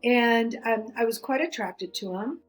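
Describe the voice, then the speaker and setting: A middle-aged woman whose first language is Greek, a podcast guest telling stories in a lively way, full of restarts and "you know". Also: on the high side, measured pace, faint music behind. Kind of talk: conversational storytelling.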